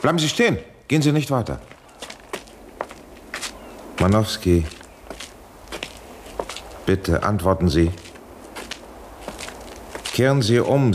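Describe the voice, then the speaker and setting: An actor playing an anxious, authoritative professor in a 1970s German radio drama, urging and imploring in formal speech. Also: male voice, mid-range voice, a little hiss or hum. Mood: urgent pleading. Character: anxious authoritative male professor pleading urgently by radio link.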